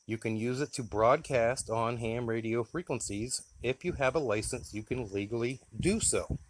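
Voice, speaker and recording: A man's voice: medium pitch, moderate pace. An American man giving a casual, plain-spoken, folksy demonstration of his gear. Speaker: radio hobbyist presenting his gear.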